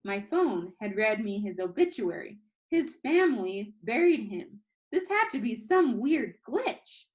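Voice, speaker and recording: A woman reads a children's novel aloud in an expressive first-person narration, now and then stumbling slightly and correcting herself. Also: a little high, measured pace, rough recording.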